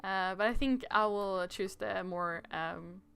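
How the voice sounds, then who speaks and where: A woman's voice: medium pitch, slow, vocal fry, a bit bright, clear, resonant tone. A woman on a livestream playthrough, chatting casually and reading aloud in a playful, giggly manner. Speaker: livestream game player.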